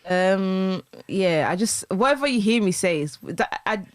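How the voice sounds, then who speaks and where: A woman's voice: mid-range voice, animated, unhurried, no room sound. A young woman with a light Birmingham accent co-hosting a casual podcast, teasing and repeating words as she talks. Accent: Birmingham, softened by London. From Birmingham, England. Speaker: podcast co-host. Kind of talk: casual chat.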